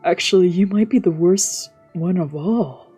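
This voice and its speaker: A woman voicing a gentle, teasing young man from a visual novel in a soft, candid manner. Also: on the low side, unhurried, husky, soft background music. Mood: candid, probing.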